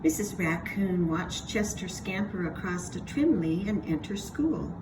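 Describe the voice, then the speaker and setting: A woman narrating a children's story aloud in an expressive read-aloud style. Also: lowish voice, a little slow, background noise.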